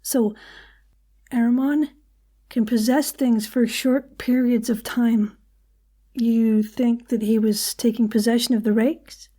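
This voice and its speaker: A shrewd, knowing character in an audio drama. Her voice is fairly high, and she talks deliberately with a slightly sardonic edge. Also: fairly even intonation, mellow. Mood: intent, knowing.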